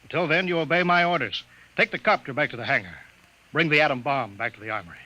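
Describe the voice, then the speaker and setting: A man's voice: a little high, lively intonation, fast, pushed and bright, highs cut off, full character voice. An American man acting an irascible, bullying bureau chief who barks explosively, in a mid-century radio drama on a vintage recording. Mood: angry, commanding. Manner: barking, explosive, insulting. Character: blustering, irascible male bureau chief, hot-tempered bully.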